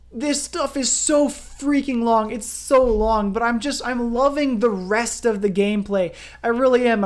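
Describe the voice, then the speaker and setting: A woman game streamer giving a ranting commentary, emphatic and repeating phrases for emphasis. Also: fairly high pitch.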